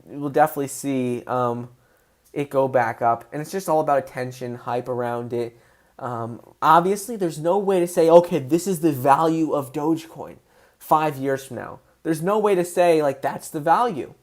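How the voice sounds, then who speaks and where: A man hosting a casual livestream, excitable and answering viewers' chat questions as he goes. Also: on the high side. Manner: excitable, voice rises when passionate.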